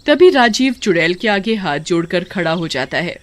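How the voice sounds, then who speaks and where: A woman's voice: on the low side, very animated, normal speed. A woman narrating a scripted story expressively, as a storyteller.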